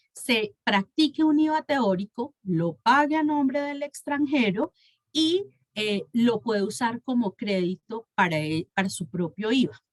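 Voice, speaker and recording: A woman's voice: mid-range voice, no room sound. A woman with a Colombian Spanish accent giving an explanatory professional presentation as a consultant, in a didactic manner and often correcting herself as she goes. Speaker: tax and legal consultant presenting a webinar.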